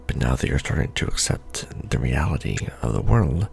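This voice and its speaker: A man playing a jaded secret-society official in an ASMR roleplay, briefing a recruit in a soft, deadpan, rambling, sardonic murmur. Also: very deep voice, normal speed, gravelly, full character voice. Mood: dry, sardonic. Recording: background music under the voice. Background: background music.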